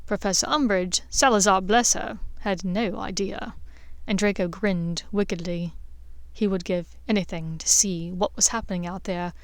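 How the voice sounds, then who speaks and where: A woman's voice: mid-range voice, fairly even intonation, moderate pace, dry recording. A woman reading a scripted story as an audiobook narrator. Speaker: audiobook narrator of fan fiction.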